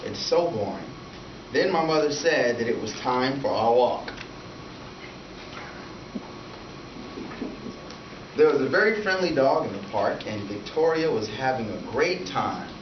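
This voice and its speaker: A man's voice: mid-range voice, expressive, normal speed, close to an everyday voice. A man voicing a lonely, timid, polite young boy with simple childlike narration in a storybook read-aloud. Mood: bored, shy. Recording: echoey room from far, noisy, dull.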